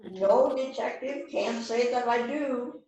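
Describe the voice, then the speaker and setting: A woman's voice: fairly high pitch, slow pace, warm tone, highs cut off. A woman playing an eager, agreeable junior police sidekick in an amateur readers theater rehearsal, with an earnest delivery. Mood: helpful. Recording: roomy, distant mic with faint noise.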